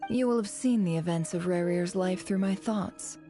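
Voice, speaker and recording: A woman plays a haughty game character, an imperious lady boss with a fairly low voice. She is sardonic, self-satisfied and theatrical, with dry wit. Music plays behind her. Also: normal speed, warm tone, close to an everyday voice. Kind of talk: video game voice acting.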